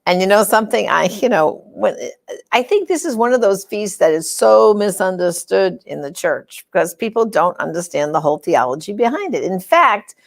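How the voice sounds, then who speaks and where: Middle aged lady hosting a faith show, chatty explainer style, medium pitch, leans on key words. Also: sing-song, normal speed, vocal fry. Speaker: host of a religious program. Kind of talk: devotional talk to camera.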